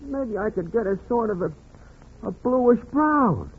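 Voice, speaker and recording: A man's voice: very high-pitched, expressive, a little slow. A man playing a meek, henpecked little husband in 1940s radio comedy, hesitant and plaintive. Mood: meek, wistful. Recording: noisy, muffled 1940s radio recording.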